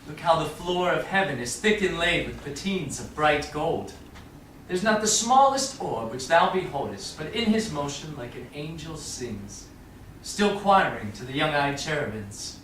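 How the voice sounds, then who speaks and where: A romantic young Shakespearean lover, acted by a man, poetic and fairly high for a male voice. The delivery swings widely in pitch, far off in a very echoey theatre. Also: a bit bright. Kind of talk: staged Shakespeare reading. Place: small theatre stage, far audience camera. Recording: very echoey stage, distant camera, faint noise.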